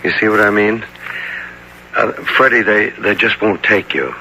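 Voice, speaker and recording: A man's voice: on the low side, fairly even intonation, unhurried, pushed and bright, full character voice, poor audio. An actor playing a kindly builder father in a radio drama, speaking in a hesitant, folksy manner. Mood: gentle, hesitant. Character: plain, kindly builder father of a teenage boy. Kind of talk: 1970s radio drama acting. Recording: old radio broadcast, faint noise.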